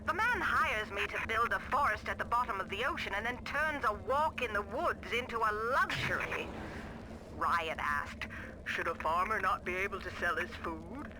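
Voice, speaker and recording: A woman's voice: medium pitch, expressive, normal speed, natural voice. A woman acting a wry, disillusioned botanist with a dry, sardonic delivery in a game audio diary. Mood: bitter, resigned. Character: wry, disillusioned botanist resenting a wealthy employer. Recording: very noisy recording, hissing radio-like backdrop.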